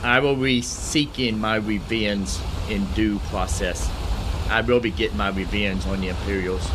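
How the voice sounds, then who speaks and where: A man giving casual video game commentary as host of a roleplay playthrough, rambling and thinking out loud, often repeating his phrases. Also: lowish voice, background noise.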